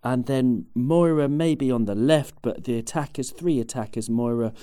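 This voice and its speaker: Mellow-voiced chatty male host. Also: mid-range voice, normal speed. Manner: chatty, rambling with fillers. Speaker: podcast host. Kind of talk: casual football chat.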